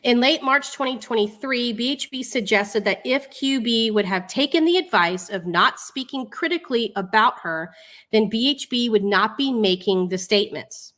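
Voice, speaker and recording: A woman's voice: fairly high pitch, animated, moderate pace, a bit bright. Sarcastic woman livestreamer, informal. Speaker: livestream host. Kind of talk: live online commentary, reading a legal document aloud with casual asides.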